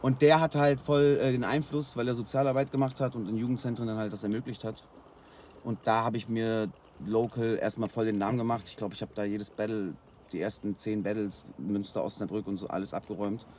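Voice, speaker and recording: Worn-out young German rapper, slangy. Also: male voice, lowish voice, a little fast, a little hiss or hum. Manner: relaxed, slangy storytelling with fillers. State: worn out after a long night. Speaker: guest freestyle rapper. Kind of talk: casual interview chat.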